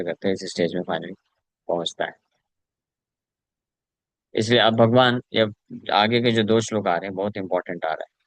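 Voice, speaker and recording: A man's voice: lowish voice, no room sound. A man, a spiritual teacher, giving a devotional scripture lecture in an explanatory way, mixing in English terms and reciting Sanskrit verses.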